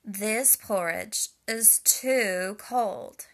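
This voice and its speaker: A woman voicing a fussy little girl in a storybook reading, loud and slow. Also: medium pitch. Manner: loud and slowed down.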